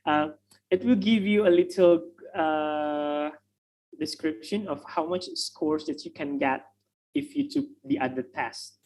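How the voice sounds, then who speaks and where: Slow, hesitant Indonesian-accented man. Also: fairly high pitch, expressive, a little mellow, low-quality recording. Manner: hesitant, many fillers and restarts. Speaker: guest speaker on English tests. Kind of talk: online webinar talk. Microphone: video call.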